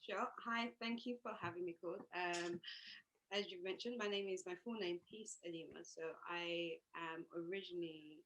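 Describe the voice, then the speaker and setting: A woman's voice: on the low side, normal speed, fairly bright tone, highs cut off, slight background noise. Hesitant woman. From Uganda. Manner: thoughtful, hesitant, many ums and restarts. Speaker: yoga teacher. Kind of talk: interview answers.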